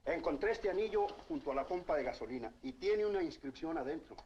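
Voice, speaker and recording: A man's voice: fairly high pitch, lively intonation, very fast, a little hiss or hum, close to an everyday voice. An actor playing an agitated, urgent local man in a dull-sounding old 1950s film. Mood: upset, urgent. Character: agitated male Spanish-speaking local man.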